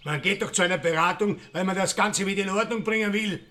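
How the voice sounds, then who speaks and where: An actor playing a bitter, cornered Austrian village murderer in a radio crime drama, indignant and sneering. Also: male voice, sits high, fast talker, bright, forceful tone. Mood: hysterical rage.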